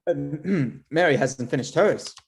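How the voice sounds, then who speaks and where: A man's voice: fairly high pitch, expressive, slow pace, full character voice. A man playing a timid, awkward physician in a period drama reading, hesitant and stammering. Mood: nervous. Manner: hesitant, stammering, throat-clearing. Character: Doctor Polidori, timid, awkward male physician.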